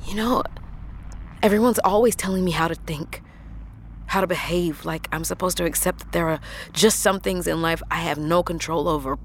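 A woman's voice: fairly low pitch, normal speed, lightly acted. An actress playing a defiant, foul-mouthed woman driver on the run in an audio drama, blunt and colloquial. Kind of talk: audio fiction acting. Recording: faint vehicle noise.

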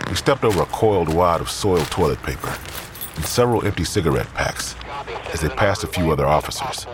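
A deep-voiced man narrates a crime drama with wide swings of intonation. Clear ambient noise and vehicle sounds sit behind his scripted storytelling. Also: lively intonation, a little fast.

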